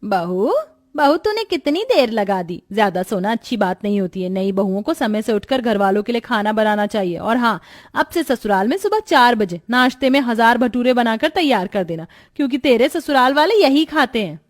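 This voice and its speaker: A woman's voice: on the high side, lively intonation, moderate pace, dry recording, studio quality, lightly acted. A woman voicing a bossy, demanding middle-aged mother-in-law who commands and scolds in a cartoon story.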